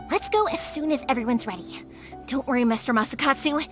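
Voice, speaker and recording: A woman's voice: high-pitched, sing-song, normal speed, music in the background. A young woman voicing a cheerful, playful fireworks shop owner in a video game dub, bubbly and giggly with folksy phrasing.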